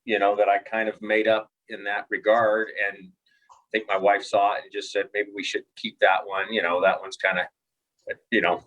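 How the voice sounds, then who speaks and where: A man's voice: lowish voice, flat delivery, normal speed, a bit bright, dull audio. A middle-aged man, a doctor and author, talking and reading in an easy, plain way. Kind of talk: interview talk and storybook reading.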